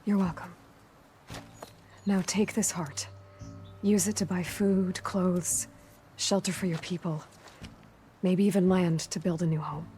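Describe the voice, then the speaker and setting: An actress playing a capable, compassionate young hunter who speaks calmly and steadily in a game cutscene. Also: female voice, fairly low pitch, a little slow, pauses often, soft background music, natural voice. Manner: calm, steady. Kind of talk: video game cutscene acting.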